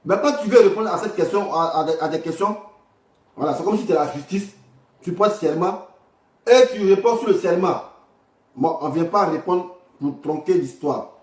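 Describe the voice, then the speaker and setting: An Ivorian man with a fairly high voice talks off the cuff on a livestream. He rambles, repeats himself and is bluntly crude. The sound is roomy and a little far from the mic. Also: moderate pace, darkish tone. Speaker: livestream host. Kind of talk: informal livestream political commentary. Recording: echoey room, distant from the mic.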